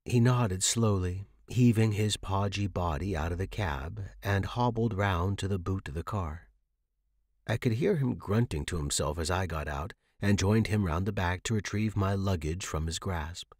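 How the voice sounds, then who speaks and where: A man's voice: deep voice, moderate pace, a little mellow, no room sound, clean studio sound. A man reading a story aloud as a scripted, dramatic fiction narration. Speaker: audiobook narrator.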